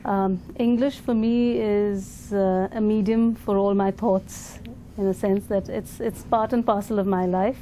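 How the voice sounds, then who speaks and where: Thoughtful Indian woman, mellow voice. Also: mid-range voice, steady tone, measured pace, slight background noise. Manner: thoughtful, hesitant, many fillers and restarts. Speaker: education foundation leader, interview guest. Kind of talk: conference interview answers.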